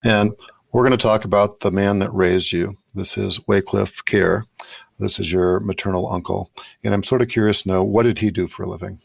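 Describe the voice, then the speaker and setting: A man with a low, gravelly voice hosts an interview podcast. He speaks in a conversational way, putting questions to a guest. The sound is dry and close. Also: fairly even intonation, moderate pace, dry recording.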